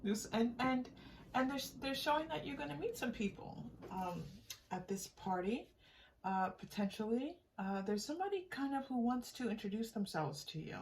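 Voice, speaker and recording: A woman giving an improvised spiritual tarot reading straight to the viewer, in a halting, conversational way that often seeks agreement. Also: fairly high pitch, lively intonation, a little mellow, far from the mic, a little hiss or hum, rough recording. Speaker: tarot reader and channel host.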